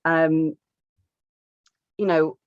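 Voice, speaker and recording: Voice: medium pitch, level tone, drawn-out words, clean tone, no echo. Podcast co-host, teasing, inquisitive, muffled dry audio. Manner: teasing, laughs a lot, asks questions. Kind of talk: casual podcast chat.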